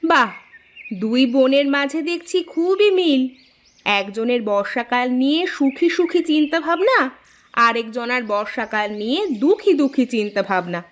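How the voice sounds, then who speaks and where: A woman's voice: high-pitched, animated, measured pace. A woman playing a melodramatic, scolding village mother of two daughters in an exclamatory, teasing cartoon style. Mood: exasperated, mocking. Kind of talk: Bengali moral-story cartoon acting. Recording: music behind the voice.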